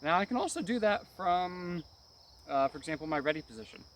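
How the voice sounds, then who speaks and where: A man's voice: sits high, expressive, brisk, a bit bright, slight background noise. A man giving hands-on martial arts instruction in short, clipped phrases, often adding brief check-in tags. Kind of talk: hands-on technique demonstration, instructional.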